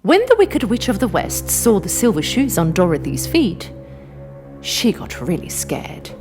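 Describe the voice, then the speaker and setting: A woman narrating a scripted children's fairy tale in an expressive, dramatic way. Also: medium pitch, a little slow. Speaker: storyteller, narrator. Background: music.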